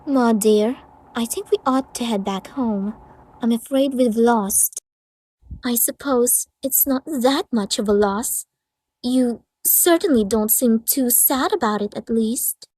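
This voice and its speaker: A woman's voice: fairly high pitch, slow pace, a little mellow, breathy edge, dry recording, poor audio. A woman voicing a refined, dramatic female pony adventurer in an amateur comic dub. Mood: resigned, wistful. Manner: theatrical, genteel, effusive. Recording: music behind the voice.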